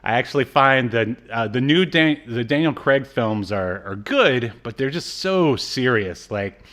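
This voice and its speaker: A man's voice: mid-range voice, expressive, unhurried, fairly bright tone. Casual, playful male podcast host. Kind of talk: casual banter about old cartoons.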